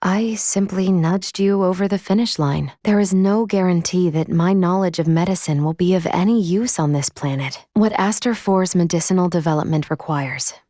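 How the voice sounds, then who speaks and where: A woman voicing a polite, analytical female android in a video game, with formal, measured diction and a caring, fussy manner. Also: lowish voice, dark tone, no echo, clean studio sound, close to an everyday voice. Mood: patient, instructive.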